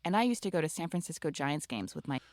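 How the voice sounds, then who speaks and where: A young woman from California narrating a podcast in a measured, earnest way over a faint music bed. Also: low voice, expressive, a little mellow, no echo. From Santa Cruz, California. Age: young adult. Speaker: podcast host.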